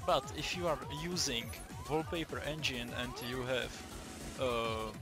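A young man from the Czech Republic with a Czech accent, giving a casual, halting gaming tutorial, swearing often and restarting his sentences. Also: mid-range voice, music in the background. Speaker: gaming video maker.

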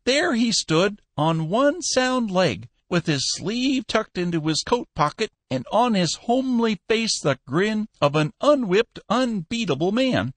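A man reading an audiobook, voicing a feisty, soft-hearted old businessman in a high, chirping, bossy voice. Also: sing-song, measured pace, few pauses, dry recording, exaggerated voice. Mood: scolding, cajoling. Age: older.

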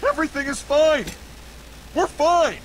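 An actor playing a slick but panicky corporate schemer in a video game, pleading and flustered. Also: male voice, very high-pitched, measured pace, a bit bright, poor audio. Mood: nervous pleading. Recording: game audio with noise behind.

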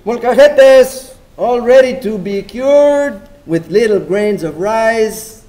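A man's voice: very high voice, expressive, slow, dark tone, voice pushed up, full character voice, poor audio. A man voicing a friendly, sympathetic South Texas Mexican American street vendor in a live dramatic reading, mixing English and Spanish. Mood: calling out wares. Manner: switches between English and Spanish, sing-song hawking. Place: lectern in a large meeting room with seated audience. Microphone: lectern microphone on stand.

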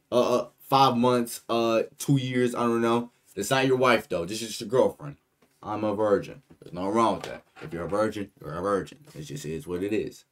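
A young American man with a mid-range voice talks to his viewers over a headset mic. His delivery is loose and rambling, full of jokes and asides to chat, typical of casual live-stream commentary. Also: mid-range voice. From United States. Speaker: streamer reacting to a video.

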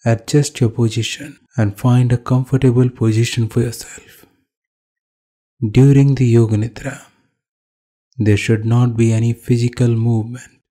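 Very mellow Indian man guiding meditation slowly. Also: mid-range voice. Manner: calm, unhurried pauses between short phrases. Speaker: yoga nidra meditation instructor. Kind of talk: guided meditation, slow spoken instructions.

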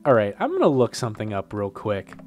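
Young man, getting over a cold, lively up-and-down voice, casual game commentary, swears when flustered. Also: fairly low pitch, brisk, over music. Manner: swearing, laughing, easily flustered. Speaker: gameplay commentator. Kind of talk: casual let's play commentary, reading game text aloud.

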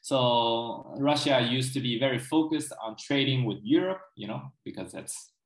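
Guy on a video call, native chinese speaker, mid pitch, roomy echo, lots of "you know". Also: moderate pace. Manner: explains at length with frequent "right?" and "you know". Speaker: guest expert. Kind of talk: casual interview over video call. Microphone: video call, laptop camera. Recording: echoey room over a video call.

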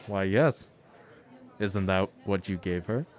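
A man playing a gentle, courteous stranger in a radio drama, speaking in a measured way. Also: low voice, no room sound. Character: gentle, kindly male stranger, a traveler.

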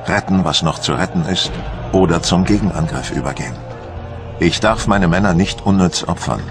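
An actor playing a proud, embittered French admiral, solemn and theatrical, in a dramatised documentary monologue. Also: male voice, deep voice. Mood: grim, resolute. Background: music.

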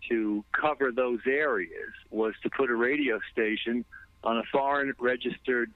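Tinny phone-sounding guy telling his story in an interview, dry, medium pitch. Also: steady tone, moderate pace, no room sound. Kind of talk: recounting in an interview.

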